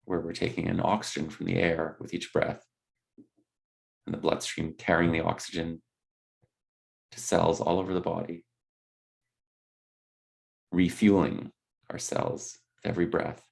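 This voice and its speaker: A man leading a guided body scan meditation, speaking gently in unscripted, hesitant phrases. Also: low voice, little pitch movement, unhurried, creaky, pauses often.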